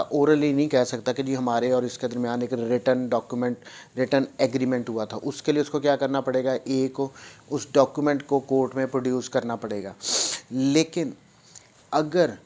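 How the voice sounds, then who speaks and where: A man's voice: medium pitch, darkish tone. Pakistani man lecturing, didactic and emphatic. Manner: didactic, emphatic repetition, reading clauses out deliberately. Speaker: law lecturer. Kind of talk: explanatory lecture mixing Urdu with English legal terms.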